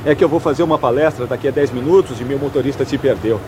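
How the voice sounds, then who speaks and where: A man's voice: mid-range voice, quick pace, noisy background, natural voice. An actor dubbing a naive, dim-witted young man who is overly friendly and stammers awkwardly. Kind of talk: Brazilian Portuguese film dub.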